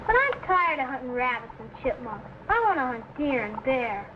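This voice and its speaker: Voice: sits high, big pitch swings, moderate pace, dull audio. A performer playing a plucky frontier boy of eight or nine in an old drama, earnest and folksy. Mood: eager, boastful. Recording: noisy vintage serial recording.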